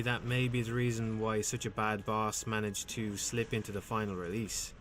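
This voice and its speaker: A man reading scripted game commentary in a calm, measured, dryly wry way with a soothing voice. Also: fairly low pitch, slight background noise.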